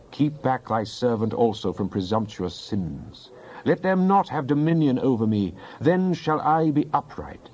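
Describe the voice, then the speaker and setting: A man's voice: on the low side, darkish tone, dull audio. A man giving a dramatized Bible reading in a solemn, declamatory manner. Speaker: scripture reader.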